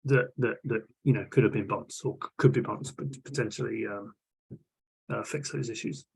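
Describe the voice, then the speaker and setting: Gravelly, husky man on a meeting call. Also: lowish voice, normal speed, a little mellow, low-quality recording. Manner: hesitant, many restarts and fillers. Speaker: vulnerability management working group lead. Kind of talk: casual technical meeting discussion. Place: online video call.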